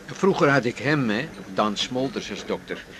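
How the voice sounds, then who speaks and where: A man's voice: medium pitch, expressive, moderate pace, noisy background, lightly acted. An actor playing an amiable, inquisitive male companion in a naturalistic radio drama. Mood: frank.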